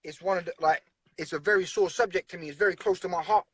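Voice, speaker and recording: A man's voice: sits high, steady tone, fast, breathy edge, no echo. A man talking earnestly and bluntly in a casual conversation, often repeating himself.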